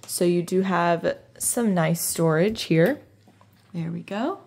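A woman real estate agent giving an upbeat, chatty walking tour of a house, filming it herself on a phone. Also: fairly low pitch. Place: inside and around a house, voice-over while filming.

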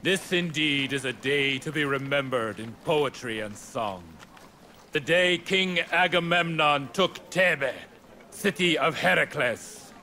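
A man's voice: fairly high pitch, slow pace, sharp tone, a little hiss or hum, full character voice. An actor playing a proud, arrogant conquering Greek king in a video game, declaiming pompously over music. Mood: triumphant. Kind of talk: dramatic video game cutscene acting.

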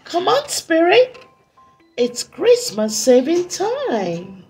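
A brave young elf girl from a storybook, acted by a woman, speaks in a high, lively voice. She is earnest and eager, rallying those around her. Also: extremely slow, faint music behind, full character voice. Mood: determined.

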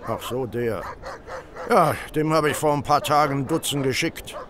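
A man acting a surly, irritable London poultry dealer in an audio drama, gruff and combative. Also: fairly high pitch, moderate pace, a crowd in the background, close to an everyday voice. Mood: curt.

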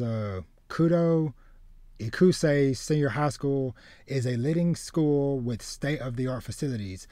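A man in his thirties talks casually as a podcast host. He starts and restarts phrases, doubling up words as he goes. The sound is close and dry, with a middling pitch. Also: a little mellow, no echo. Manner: halting, repeats words as he starts. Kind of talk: casual chat about anime and manga. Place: small home room with shelves, video call.

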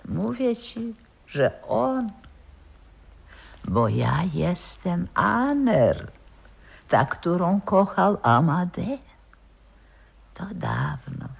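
Slow, husky old grandmother, wistful. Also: lowish voice, sing-song, lo-fi sound, exaggerated voice. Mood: dreamy. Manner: halting, theatrical, dreamy reminiscing. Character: wistful proud old grandmother, former opera singer. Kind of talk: 1960s radio play acting.

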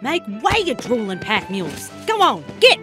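Woman as gruff strong snow woman, blunt and bossy. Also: on the high side, huge pitch swings, normal speed, music in the background.

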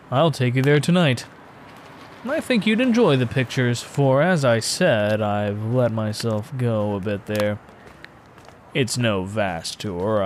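A man's voice: mid-range voice, a little hiss or hum. A man narrating a classic horror story in a dramatic, literary audiobook style.

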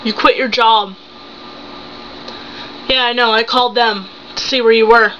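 A seventeen-year-old young woman acting a betrayed, hurt and angry wife and mother in an improvised dramatic monologue, accusing with halting, emphatic phrases. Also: on the high side, steady tone, a bit bright. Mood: bitter, accusing. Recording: muffled home recording with hum.

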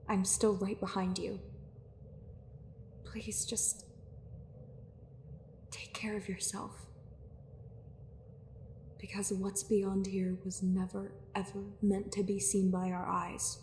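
A woman playing a weary, guarded sorceress in an audio roleplay, earnest and anxious, with a breathy, husky voice and hesitant, sighing phrasing. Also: mid-range voice, flat delivery, slow, pauses often, a little mellow, slight background noise. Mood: grave, worried. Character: weary guarded female sorceress, anxious, fiercely logical, secretly lonely. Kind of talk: dramatic audio roleplay acting.

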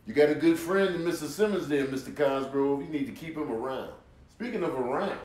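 A man's voice: on the high side, animated, fairly quick, a little mellow, distant mic, lightly acted. A man voicing a drily amused teacher, mock-formal with his little pupils, in a comic voiceover. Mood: dry. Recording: roomy, off-mic sound.

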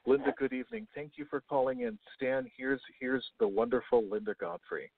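A male radio host chatting in an effusive, halting way, repeating himself, over a phone-like line. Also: medium pitch, huge pitch swings, vocal fry. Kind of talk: casual radio interview. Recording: phone-like narrow band line.

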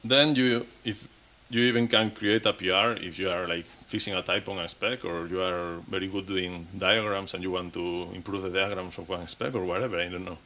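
Man, 30s, lowish voice, speaking from a podium at a conference, restarting and repeating words as he goes. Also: fairly even intonation, brisk, few pauses, pushed and bright. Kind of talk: technical conference talk. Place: conference stage.

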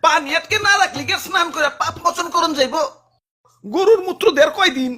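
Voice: extremely high pitch, a little fast, pushed and bright. A performer voicing a smug, greedy cartoon dam keeper who talks in an exaggerated, self-righteous comic way. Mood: smug, fretful.

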